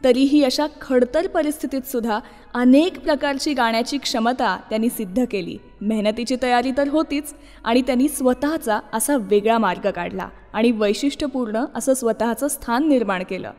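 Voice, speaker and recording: A woman's voice: a little high, moderate pace, darkish tone. A woman presenting a radio show in a scripted narrating style. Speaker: radio host. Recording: long reverb, music behind. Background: music.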